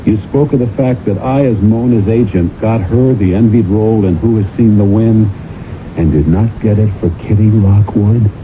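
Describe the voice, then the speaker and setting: An actor playing a stern, accusing husband who interrogates pointedly in a radio mystery drama. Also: male voice, on the low side, normal speed, close to an everyday voice. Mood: sardonic, probing. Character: stern, accusing husband, a relentless talent agent. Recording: noisy, narrow-band old radio recording.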